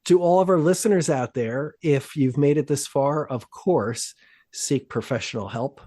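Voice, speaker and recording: A man's voice: on the high side, normal speed, mellow, no room sound, clean studio sound. A man hosting a podcast in a loose, rambling way, joking and swearing while losing his voice to a cold. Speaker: podcast host. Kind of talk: casual podcast chat.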